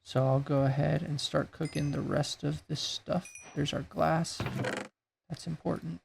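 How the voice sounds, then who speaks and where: A man with a raspy voice that is going hoarse, thinking aloud in a laid-back way while he builds in a game. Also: fairly high pitch, flat delivery, a little slow, noisy background. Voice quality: gravelly, raspy. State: losing his voice. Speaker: gaming YouTuber. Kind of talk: casual gameplay commentary.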